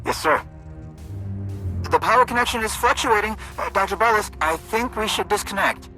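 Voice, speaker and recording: A woman's voice: mid-range voice, moderate pace, bright, forceful tone, faint music behind, lightly acted, low-quality recording. A woman acting a nervous, deferential technician in a video game, hesitant and anxious.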